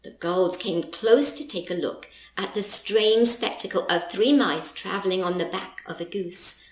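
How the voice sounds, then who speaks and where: A woman reading a children's story aloud as an expressive storyteller. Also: medium pitch, unhurried. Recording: roomy, echoing voice recording.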